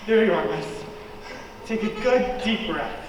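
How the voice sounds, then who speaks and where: Man as a very high-voiced gallant gentleman. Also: animated, a little slow. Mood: gallant, concerned. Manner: courteous, earnest. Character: polite, gallant Canadian gentleman, romantic lead. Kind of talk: old-time radio play dialogue. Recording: very echoey hall, distant mic.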